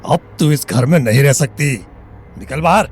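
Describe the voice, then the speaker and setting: A man's voice: a little high, normal speed, husky. A man playing a hot-tempered, coarse small-town bully in an audio drama. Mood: furious, threatening. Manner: coarse, rustic, swearing speech. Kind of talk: Hindi audio drama acting. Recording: music bed under the voice.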